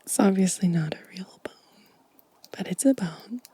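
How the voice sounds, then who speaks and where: A woman doing a gentle, casual ASMR show and tell, shifting between breathy whispering and soft-spoken talk close to the microphone. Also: mid-range voice, unhurried. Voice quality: breathy whisper mixed with soft voiced speech. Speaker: ASMR video host. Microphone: close microphone, dry sound.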